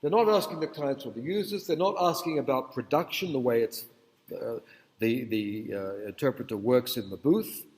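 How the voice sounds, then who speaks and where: A man's voice: mid-range voice, moderate pace, a little mellow. A man giving a university lecture in a conversational, digressive way, often checking in with the audience. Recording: echoey hall with long reverb.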